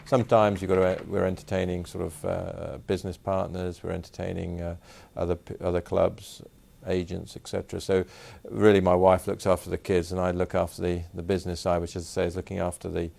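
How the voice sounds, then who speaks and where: A man's voice: sits low, level tone, a little fast, creaky, few pauses, darkish tone. A man talking in an interview about hosting business guests, hesitant with restarts. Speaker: club business host.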